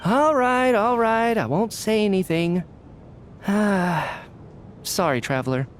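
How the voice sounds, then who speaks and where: A man's voice: high-pitched, animated, slow pace, soft background music. A man voicing a friendly, fussy young official in a game, sociable and easily flustered. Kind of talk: game voice acting.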